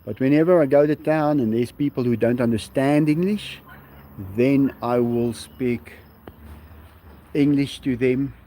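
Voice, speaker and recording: Man, South African Afrikaans accent, native Afrikaans speaker, unhurried musing live-stream chat, outdoors. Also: mid-range voice, warm tone, low-quality recording. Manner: musing, unhurried, reads viewer questions aloud and chuckles. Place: outdoors at sunset.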